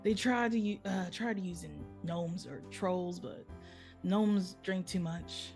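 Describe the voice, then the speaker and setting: A woman's voice: lowish voice, takes it slowly, gravelly, music in the background. A woman playing a chatty elf storyteller in a live table read, talking to the listener in a folksy, conversational way. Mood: chatty, wry. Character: chatty old male elf storyteller, proud of elf life.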